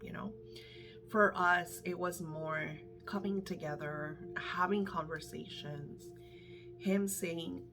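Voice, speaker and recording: A woman's voice: fairly low pitch, fairly even intonation, slow pace, music in the background, poor audio. A middle-aged woman coaching her viewers in casual, candid talk, emphatic and swearing freely, her voice a little worn as if she is losing it. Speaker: manifestation coach.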